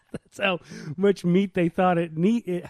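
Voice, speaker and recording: A man's voice: high-pitched, flat delivery, takes it slowly, darkish tone, dry recording. A man co-hosting a podcast in casual banter, with a playful, teasing, exclamatory way of talking. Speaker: podcast co-host.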